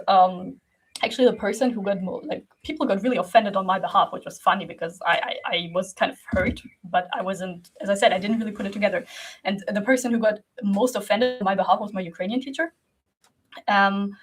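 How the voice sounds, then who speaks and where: A woman's voice: mid-range voice, a little fast, slightly rough, low-quality recording. A young woman from Germany whose first language is Russian, talking casually to camera as a creative coach, rambling with many fillers and restarts and laughing often.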